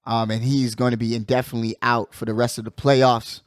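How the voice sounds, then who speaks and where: A man hosting a casual sports talk show, giving animated, slangy commentary and repeating phrases for emphasis. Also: mid-range voice, fairly quick, clean tone, no echo, studio quality. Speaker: sports podcast host.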